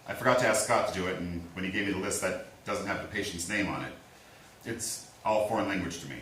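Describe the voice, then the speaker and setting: A man acting in a staged reading as a steady, apologetic small-town lawman, speaking in a measured, reassuring way. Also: deep voice. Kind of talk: staged play reading, naturalistic. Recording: echoey room, distant mic, faint hiss.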